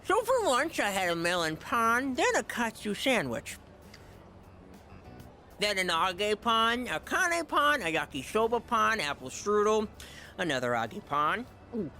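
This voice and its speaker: An actor voicing a gluttonous, self-important high-school boy in a video game, in a bombastic, theatrical manner. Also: very animated, a little slow, sharp tone, faint music behind, heavily acted. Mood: dreamy, hungry. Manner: bombastic, dramatic swings between squeals and low asides. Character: gluttonous, pompous, theatrical male high-school student, self-styled gourmet.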